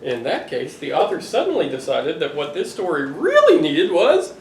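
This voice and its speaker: Expressive dad reading aloud, roomy distant sound. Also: male voice, a little high, normal speed. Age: middle-aged. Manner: expressive, playfully exasperated. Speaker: father reading to his children. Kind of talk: animated picture-book read-aloud. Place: living room sofa, indoors. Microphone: camera a few feet away. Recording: roomy, distant home recording with noise.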